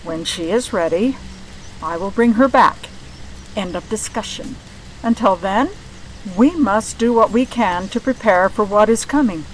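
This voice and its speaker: A woman's voice: medium pitch, unhurried, a little hiss or hum, close to an everyday voice, poor audio. An actress playing a firm, commanding middle-aged mother and mage mentor who speaks decisively and does not bend.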